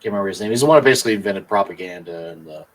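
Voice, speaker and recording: A laid-back man co-hosts a casual podcast and drops dry quips. His voice is on the low side. He sounds a bit distant, as if sitting back from the microphone. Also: rapid-fire. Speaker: podcast co-host. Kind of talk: casual chat. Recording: voice from away from the mic.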